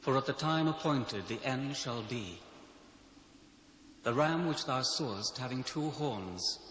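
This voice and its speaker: Casting: authoritative angel, British, mid-pitched, solemn declamation, heavy echo. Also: male voice, animated, takes it slowly, fairly bright tone. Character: authoritative male angel explaining a prophecy. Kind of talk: dramatised scripture reading. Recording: echoing long reverb, faint noise.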